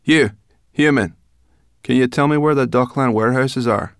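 A man reading a storybook aloud, voicing a cross, suspicious female brownie girl in a raised, sharp voice. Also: mid-range voice, moderate pace, smooth, no echo, clean studio sound, pitched above the performer's own voice, lightly acted. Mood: brusque. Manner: sharp, impatient, snappish.

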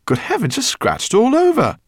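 A man's voice: very high-pitched, wildly swooping pitch, normal speed, husky, no room sound, clean studio sound, pitched above the performer's own voice, exaggerated voice. A man reading an audiobook, voicing a kindly, flustered older male professor.

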